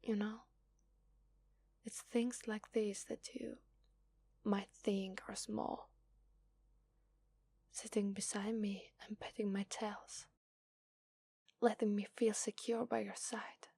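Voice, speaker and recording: A woman with an Italian accent voicing a gentle, lovestruck female fox spirit in a soft, whispered ASMR roleplay. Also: mid-range voice, little pitch movement, slow pace, creaky, long pauses, husky, heavily acted. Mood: tender whisper.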